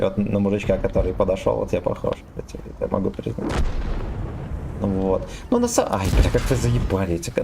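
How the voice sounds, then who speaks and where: Brief: young male streamer, lowish voice, jokey and profane, faint game sounds under him. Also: vocal fry, background noise. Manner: joking, swears freely, reads chat aloud. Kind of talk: casual game stream chatter.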